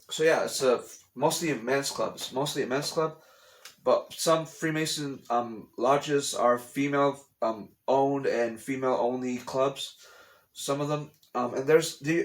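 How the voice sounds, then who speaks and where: A man in a casual home vlog, talking in a middle-pitched voice. He rambles, circles back to repeat phrases and bluntly waves off conspiracy theories. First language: English. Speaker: vlogger. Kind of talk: casual explanatory monologue. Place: at home, room with a TV.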